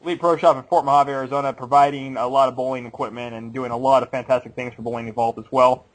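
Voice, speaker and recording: A man's voice: medium pitch, level tone, quick pace, low-quality recording. A man in his late twenties co-hosting a bowling podcast, chatting casually, laughing often and playfully cynical. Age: late 20s. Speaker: podcast co-host. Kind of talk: casual chat.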